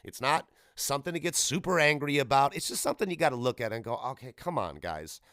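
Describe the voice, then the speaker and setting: A man's voice: fairly high pitch, animated, fast, fairly bright tone, no echo, clean studio sound. Rambling, irreverent man hosting a podcast. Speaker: podcast host. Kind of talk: casual solo gaming commentary.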